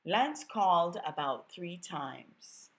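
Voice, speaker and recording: A Jamaican woman acting out a sassy, outspoken young secretary in a storybook reading. She teases and jabs with attitude, her voice lifted above the reader's own low tone. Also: expressive, slow pace, a bit bright, exaggerated voice. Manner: sassy, teasing.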